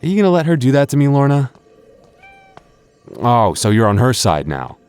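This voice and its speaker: A man's voice: mid-range voice, very animated, normal speed, a little mellow, clean tone, soft background music. A man voicing a boyfriend in an ASMR roleplay, stammering through restarts and teasing playfully.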